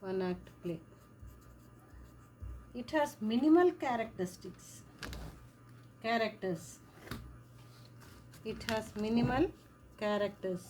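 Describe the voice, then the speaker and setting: Slow, repetitive woman teaching a lesson. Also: a little high, a little mellow, a little hiss or hum, low-quality recording. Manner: slow, repeats words and phrases while writing. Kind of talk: classroom lesson explaining a play.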